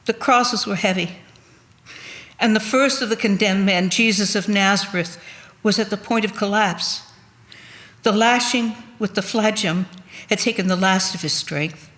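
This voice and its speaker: Female reader, medium pitch, solemn scripted narration for a church service. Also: measured pace, fairly bright tone.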